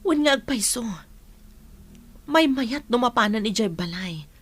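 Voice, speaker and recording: A woman's voice: on the high side, expressive, unhurried, a bit bright, no room sound. A woman playing a caring, burdened middle-aged mother in an audio drama. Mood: concerned, patient.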